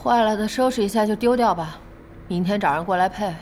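An actress playing a feisty, bossy young internet cafe boss in an audio drama, blunt and expressive. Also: female voice, mid-range voice, slight background noise, lightly acted. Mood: brisk, curious. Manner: blunt, expressive, quick to scold.

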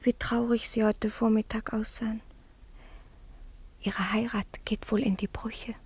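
High-voiced artless country girl. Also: level tone, unhurried, a little mellow, slightly rough. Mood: candid, wistful. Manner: simple, artless. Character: naive, plain-spoken young peasant girl, shyly candid. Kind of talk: classic radio play acting.

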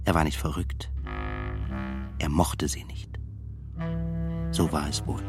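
Pensive deep-voiced son remembering. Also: male voice, flat delivery, slow pace. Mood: reflective, subdued. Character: pensive son recalling his childhood and severe father. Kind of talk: radio play monologue. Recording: music under the voice.

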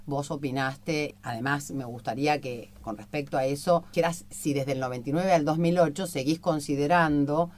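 A woman's voice: sits low, moderate pace, hardly any pauses, darkish tone, a little hiss or hum. A woman with an Argentine accent interviews conversationally, putting long, searching questions in literary words. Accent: Argentine Spanish.

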